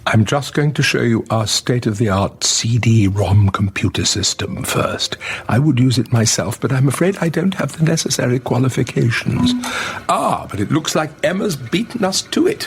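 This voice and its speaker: An actor playing a pompous, excitable, touchy curator of a small museum in a radio sitcom, in a theatrical, grandiloquent manner. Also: male voice, mid-range voice, moderate pace, a little hiss or hum, lightly acted. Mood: smugly hospitable.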